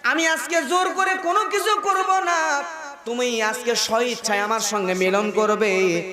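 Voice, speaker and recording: A Bangladeshi man voicing a lustful, menacing young butcher in a sermon story, with drawn-out, declamatory speech. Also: very high-pitched. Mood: coaxing, insistent. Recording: echoing loudspeaker sound with crowd.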